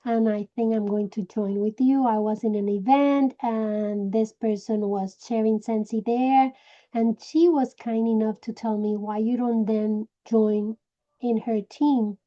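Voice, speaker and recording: A woman from Puerto Rico with a Puerto Rican Spanish accent, Spanish her first language, telling her personal story slowly and searching for words. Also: on the high side, steady tone, dark tone, highs cut off. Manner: slow, searching for words, many restarts. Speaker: direct sales director. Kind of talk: personal story told to a group.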